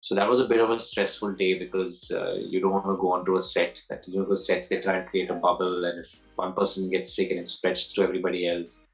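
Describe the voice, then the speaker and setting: Low-voiced man in his forties, chatty. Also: flat delivery, fast, hardly any pauses, soft background music, poor audio. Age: 40s. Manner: rambling, restarts and repeats words, laughs easily. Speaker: actor being interviewed. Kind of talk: casual interview answers.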